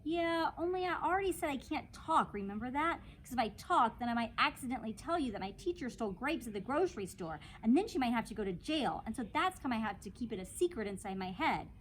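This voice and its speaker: A woman voicing an excitable, dramatic little kindergarten girl from a storybook, with a whiny, blurting childish delivery. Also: on the high side, fast, few pauses, slight background noise, pitched above the performer's own voice, lightly acted. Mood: exasperated.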